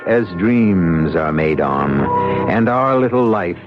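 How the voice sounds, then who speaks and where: A very deep-voiced man narrates as a mystery radio host, formal and theatrical. His delivery swings widely in pitch, with music behind an old broadcast. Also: lively intonation, highs cut off. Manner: measured, dramatic, formal.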